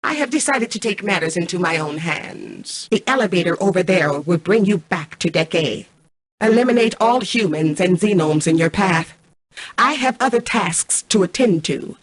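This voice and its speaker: A woman voicing a cold, arrogant mainframe intelligence in a video game, speaking in a formal, measured, commanding way. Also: lowish voice, lively intonation, husky. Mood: calm, imperious. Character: cold, arrogant female mainframe artificial intelligence, calculating and imperious. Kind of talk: scripted video game voice acting.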